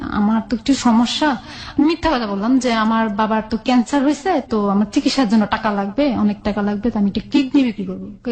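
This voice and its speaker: A woman's voice: fairly high pitch, darkish tone, low-quality recording. A woman with a Bangladeshi accent telling her own life story in an interview, colloquially, often recounting conversations as dialogue. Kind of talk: candid personal storytelling in an interview.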